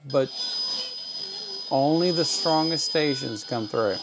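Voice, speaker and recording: Gravelly middle-aged man explaining gear. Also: fairly high pitch, slow pace, heavy background noise. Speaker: electronics channel host. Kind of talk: casual product demonstration, explaining. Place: small home electronics workroom, indoors.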